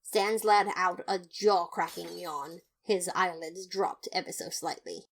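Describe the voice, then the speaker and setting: A woman's voice: on the low side, fairly even intonation, unhurried. A woman voicing an earnest, boastful male skeleton detective who narrates his case in a fan audio drama, theatrical and emphatic. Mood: earnest, dramatic. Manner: theatrical, self-satisfied, emphatic.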